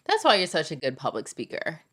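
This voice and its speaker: A woman co-hosts a podcast with a notably deep voice. She chats candidly and eagerly shares stories in an easy, conversational way. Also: wildly swooping pitch, a little fast, fairly bright tone. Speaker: podcast co-host. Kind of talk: casual conversation.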